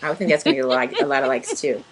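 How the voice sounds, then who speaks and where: A woman's voice: very deep voice, huge pitch swings, very fast, a crowd in the background. A woman co-hosting a podcast in playful casual chat, fond of breaking into song and silly voices. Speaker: podcast co-host.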